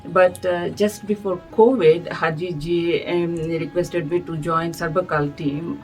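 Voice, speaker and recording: A middle-aged woman from India, whose first language is Telugu, answers interview questions in a low, unhurried voice. She pauses often and searches for words, filling the gaps with soft hesitations. A faint bed of music sits underneath her voice. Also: fairly even intonation, poor audio. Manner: thoughtful, hesitant with many fillers. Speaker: guest, music society director.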